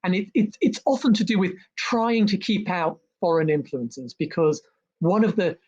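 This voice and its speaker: A man with a somewhat high voice talks through history in an interview, full of ums and restarts that break his sentences up. The sound is a little dull and muffled. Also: moderate pace, darkish tone, breathy edge. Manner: hesitant, many ums and restarts. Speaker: historian and author, interview guest. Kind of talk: conversational interview about history.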